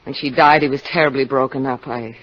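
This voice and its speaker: A woman's voice: very deep voice, fairly even intonation, brisk, a bit bright. A dull, lo-fi vintage radio recording carries a scheming, discontented wife. She speaks in a coaxing, wounded manner, with the seductive pleading of fifties radio drama. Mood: bitter, confiding. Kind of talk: 1950s radio drama acting. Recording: dull, lo-fi 1950 radio broadcast.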